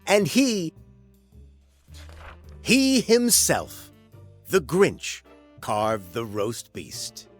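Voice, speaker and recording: A man narrating a rhyming children's storybook in a theatrical, dramatic way, drawing out key words with deliberate phrasing. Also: a little high, huge pitch swings, faint music behind.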